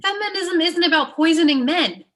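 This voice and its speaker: A woman's voice: extremely high pitch, lively intonation, moderate pace, fairly bright tone. A woman playing a sensible, disapproving young girlfriend in a comedy script table read, earnest and reproachful.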